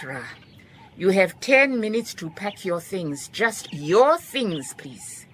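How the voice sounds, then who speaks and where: An actress playing a calm, shrewd, kindly woman detective in a radio drama, measured and politely firm, with a Southern African accent. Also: sits low, slight background noise. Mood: dryly stern. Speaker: lead actor in a radio drama. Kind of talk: radio drama acting with reflective first-person narration.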